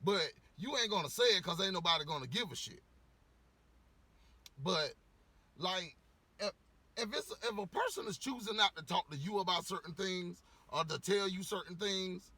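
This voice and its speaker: A man ranting casually to camera, a little hoarse from losing his voice, rambling with lots of "you know" and swearing bluntly. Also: high-pitched, pushed and bright, no room sound. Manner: rambling, repeats words, frequent "you know". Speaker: vlogger giving opinions.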